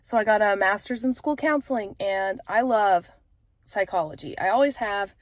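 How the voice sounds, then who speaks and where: A woman's voice: mid-range voice, animated, moderate pace, dry recording. Congested woman in a chatty vlog. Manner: chatty, frank, with frequent fillers. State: congested, stuffy nose. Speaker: vlogger and author. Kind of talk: casual chat to camera.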